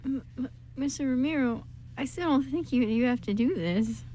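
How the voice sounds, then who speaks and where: A shy, kind young man voiced by a woman, nervous and tentative. The voice is fairly high and mellow, acted for an amateur radio play. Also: normal speed. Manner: tentative, stammering. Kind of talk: amateur radio drama acting.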